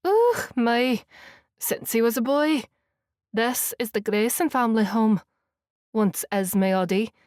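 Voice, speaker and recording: A woman's voice: a little high, animated, a little mellow, no echo, studio quality. A woman voicing a kindly, fussing middle-aged housekeeper with a Scottish accent in an audiobook. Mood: doting. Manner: chatty, doting, brisk. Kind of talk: audiobook character voice.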